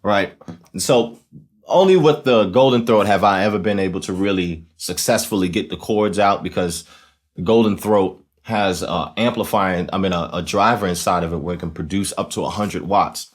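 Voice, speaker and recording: Male tutorial host, very low register, casual explainer delivery, conversational with frequent check-ins. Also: normal speed. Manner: conversational, often checking in with "right?". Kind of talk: casual instructional demonstration.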